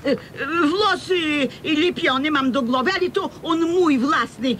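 A woman's voice: sits high, normal speed, a bit bright. An actress playing an indignant village woman who scorns men, speaking broken dialect in a comic radio serial. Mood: flustered, indignant. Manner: dialect-laden, broken, stumbling speech. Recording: noisy old radio broadcast recording.

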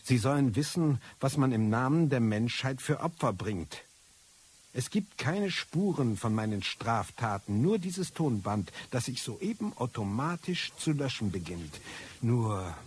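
An actor playing a disillusioned, ageing male hacker confessing on tape in a radio drama, brooding and theatrical, with a husky, raspy voice. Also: on the low side. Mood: bitter, vengeful. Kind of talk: German radio drama monologue.

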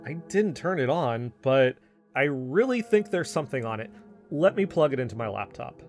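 Actor as agitated male sleuth, insistent, halting, natural audio drama dialogue, light music behind. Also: mid-range voice, very animated, lightly acted. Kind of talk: audio drama acting, conversational.

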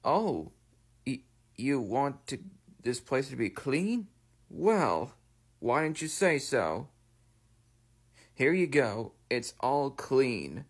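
A man's voice: medium pitch, very animated, extremely slow, pauses often, husky, exaggerated voice. A man voicing a messy, eager, touchy monkey in playful, exaggerated cartoon lines. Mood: put out. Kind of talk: scripted character voice lines.

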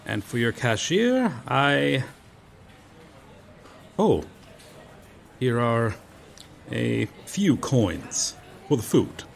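A man's voice: medium pitch, sing-song, extremely slow, creaky, long pauses, a little hiss or hum, exaggerated voice. A man playing a proud, courteous medieval knight out of his time in an audio roleplay, with formal, archaic, theatrical phrasing. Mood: generous. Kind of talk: ASMR roleplay acting.

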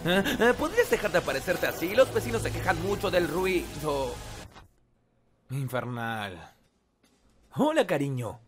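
Animated comedy dub: male actor as flustered young father, office-worker type, exasperated, higher-pitched, hugely animated delivery over music. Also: measured pace, long pauses. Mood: awkward. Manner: exasperated, hesitant, prone to panicked yelps. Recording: music behind the dialogue.

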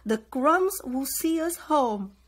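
A woman voicing a reassuring young boy from a fairy tale, earnest and hushed. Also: high voice, expressive, slow, pitched above the performer's own voice.

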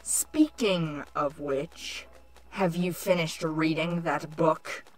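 A woman voicing a haughty changeling queen villainess with a scolding, deliberate manner, in a cartoon fan-fiction audio drama. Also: sits low, low-quality recording. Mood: irritated, threatening. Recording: music under the voice.

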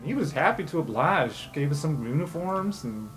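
A man's voice: on the high side, very animated, moderate pace, rough recording. Man playing a chatty streetwise crook over music. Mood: chatty, sincere. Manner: informal, blunt, rambling. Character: streetwise, chatty male crook, loyal to his friends. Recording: music bed under the voice.

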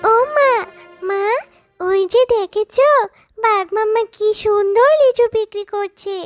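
Woman as a squeaky, wheedling little cartoon girl. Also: takes it slowly, dry recording, clean studio sound, faint music behind. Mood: eager, begging. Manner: childlike, begging and coaxing. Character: little fox girl, sweet, eager, wheedling daughter. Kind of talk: Bengali children's cartoon dubbing.

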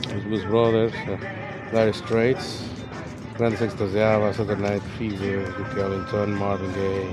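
Chatty man browsing an outdoor market. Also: lowish voice. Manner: chatty, rambling, lists items aloud. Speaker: vlogger. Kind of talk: casual walk-and-talk vlog. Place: outdoor swap meet, parking lot. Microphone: action camera on body or handheld.

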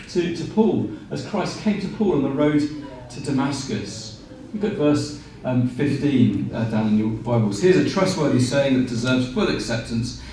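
A man in his forties preaching a sermon with animated gestures and frequent fillers and restarts. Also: medium pitch, a little hiss or hum. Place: small room with blinds, indoors. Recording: very echoey room, from a distance.

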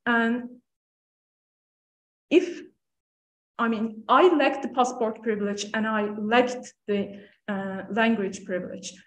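A woman, giving a measured, earnest talk as a panel speaker. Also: a little high. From Turkey. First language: Turkish. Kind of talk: prepared talk at a panel event. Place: lecture room on stage, seated panel. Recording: echoey lecture room.

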